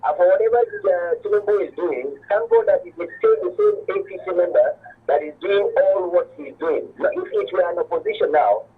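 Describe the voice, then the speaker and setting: A man's voice: high voice, wildly swooping pitch, a little fast, husky. A Nigerian man with a Nigerian accent, speaking as a guest in a live discussion of local affairs. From Kaduna, Nigeria. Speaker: guest commentator. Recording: tinny narrow phone-like line.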